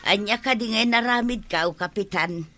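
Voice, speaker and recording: A woman's voice: mid-range voice, normal speed, sharp tone, soft background music, natural voice. A woman playing a gullible, defensive village neighbour in an Ilocano radio comedy drama, with an Ilocano accent. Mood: worried, defensive.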